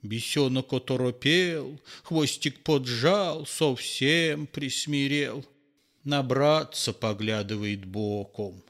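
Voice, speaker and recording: A man reading a verse fairy tale aloud in a theatrical, lively storytelling manner. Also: mid-range voice, slow pace. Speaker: narrator.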